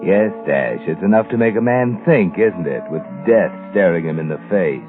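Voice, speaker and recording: A man's voice: sits low, expressive, normal speed. A man playing a sardonic, all-knowing narrator who taunts the listener in 1940s radio suspense style. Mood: ominous, knowing. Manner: taunting second-person storytelling. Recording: muffled vintage radio, music behind.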